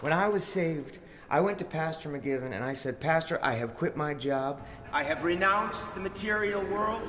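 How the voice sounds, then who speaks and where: Actor as high-pitched, folksy born-again American businessman. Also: lively intonation, moderate pace, noisy background, close to an everyday voice. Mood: fervent preaching. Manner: folksy, preacherly, rhetorical questions. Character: zealous born-again middle-aged businessman and father, folksy, self-assured. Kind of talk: audio drama acting, preaching speech and confessional narration. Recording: music playing behind the voice.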